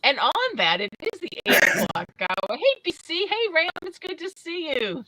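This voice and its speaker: A woman's voice: very high voice, huge pitch swings, moderate pace, pushed and bright, no room sound, poor audio. A woman from Massachusetts with a mixed Northern Massachusetts and Southern New Hampshire accent with Scottish and English traces, co-hosting a casual live stream, reading chat aloud and laughing often.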